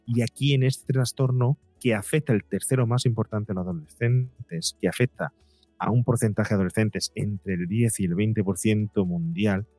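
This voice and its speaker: A man's voice: on the low side, steady tone, normal speed, darkish tone, no echo, faint music behind. A middle-aged man with a Spanish accent from Spain, giving emphatic, rambling explanations as an expert guest in an interview. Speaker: guest psychologist and podcaster.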